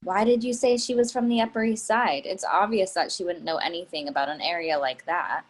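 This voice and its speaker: A woman's voice: on the low side, fairly quick, lightly acted. Woman playing an icy, condescending snobbish mother. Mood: pointed. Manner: cutting, condescending. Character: icy, suspicious, snobbish middle-aged mother. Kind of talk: staged play reading.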